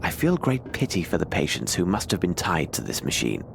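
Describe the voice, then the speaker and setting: A fairly low-voiced, lively gentleman detective from a video game. He sounds cerebral and self-assured, musing aloud in formal, articulate sentences over faint noise. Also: fairly quick, creaky, full character voice. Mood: appalled. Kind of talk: video game dramatic narration to himself.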